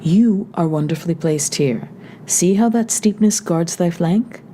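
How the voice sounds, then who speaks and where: A terse fighting man, voiced by a woman reader, speaks in a lowered, mellow tone. He gives clipped, steady orders with calm authority. It comes across as audiobook narration with a faint hiss behind. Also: a little slow, natural voice. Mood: commanding.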